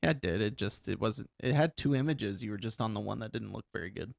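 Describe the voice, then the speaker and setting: A man's voice: on the low side, fast, creaky. A young man co-hosting a podcast in casual, crude banter, with a joking, foul-mouthed manner. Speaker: podcast co-host.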